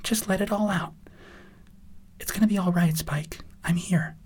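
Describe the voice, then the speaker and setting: A man voicing a gentle, caring female pony who speaks with soft, soothing concern in an audio story. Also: on the high side, lively intonation, moderate pace, voice pushed up.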